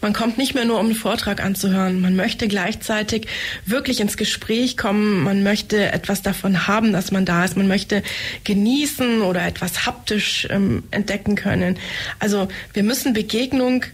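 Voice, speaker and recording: A woman's voice: medium pitch, normal speed, slightly rough. A woman answering interview questions about her organization, confident and matter-of-fact. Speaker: head of a family education center.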